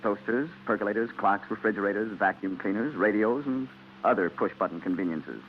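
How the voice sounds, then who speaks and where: A man's voice: fairly low pitch, fairly quick. An American man announcing on 1940s radio, reading scripted sponsor talk in a conversational, persuasive way. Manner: conversational, rhetorical questions to listeners. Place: radio broadcast studio. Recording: muffled, noisy 1940s radio recording.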